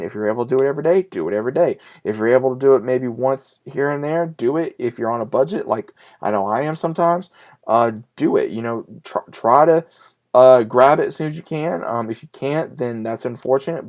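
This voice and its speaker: Male vlogger, late 20s, medium pitch. Unscripted, conversational delivery, sincere and emphatic, with repetition and frequent pauses. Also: a little mellow. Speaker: YouTube vlogger and collector. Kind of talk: casual, unscripted chat to viewers.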